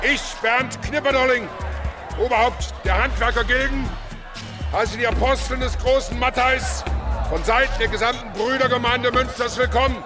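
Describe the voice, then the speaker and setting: An actor playing a proud guild leader in a radio drama, making a ceremonious public proclamation in a declamatory way. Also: male voice, extremely high pitch, fairly even intonation, moderate pace, music in the background, crowd noise. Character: proud male guild leader, loud official herald-like welcomer.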